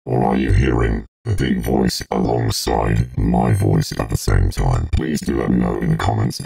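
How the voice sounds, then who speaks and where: A man talking through a pitched-down synthesizer voice changer as an electronic giant, halting and casual as in a live tutorial. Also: steady tone, dark tone, far from the mic, soft background music, exaggerated voice. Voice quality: gravelly, synthetic. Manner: halting, thinking aloud.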